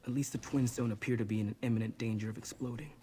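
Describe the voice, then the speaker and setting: A man's voice: medium pitch, steady tone, fast talker, mellow, slight background noise, lightly acted. An actor playing an earnest, apologetic young man burdened with guilt in a video game. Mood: grim, thoughtful.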